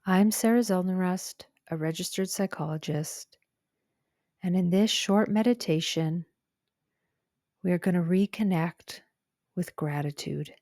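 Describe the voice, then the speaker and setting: A woman narrating a guided meditation in a gentle, calm and soothing way, with soft breathy phrase endings. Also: lowish voice, measured pace, pauses often, no room sound, clean studio sound. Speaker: psychologist guiding a meditation.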